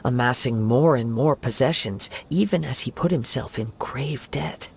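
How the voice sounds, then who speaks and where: A man voicing a calm young wandering samurai in a video game, soft-spoken, composed and reassuring. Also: medium pitch, moderate pace, creaky, breathy edge.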